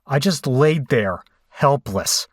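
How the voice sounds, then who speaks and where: A man playing a frightened, battered captive in a horror audio drama, telling the ordeal in the first person with deliberate, dramatic delivery. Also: medium pitch, animated, a little hiss or hum. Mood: grim, haunted recounting. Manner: deliberate, dramatic storytelling with hesitant, pained dialogue.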